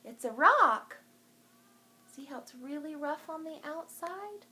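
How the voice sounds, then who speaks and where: Female children's storytime host, higher-pitched and unhurried, sing-song delivery, homemade recording with some noise. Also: animated, sharp tone. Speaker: children's librarian hosting a virtual storytime. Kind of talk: talking to young children in a craft and show-and-tell video.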